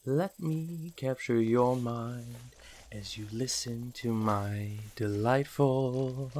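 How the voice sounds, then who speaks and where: A man's voice: mid-range voice, a little mellow, slight background noise, close to an everyday voice. A man voicing a possessive, seductive naga serpent hypnotist in a fan audio drama, coaxing his lover with a theatrical villain purr. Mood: crooning, hypnotic. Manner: slow, coaxing, theatrical villain purr, often near whispering.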